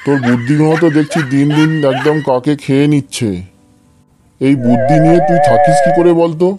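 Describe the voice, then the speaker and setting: A man's voice: a little high, fairly even intonation, brisk, over music, close to an everyday voice. A man playing an exasperated, scolding schoolteacher in a comedy cartoon. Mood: stern questioning. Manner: scolding, threatening, theatrical. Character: exasperated male village schoolteacher scolding cheeky pupils. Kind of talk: comedy cartoon dialogue in Bengali.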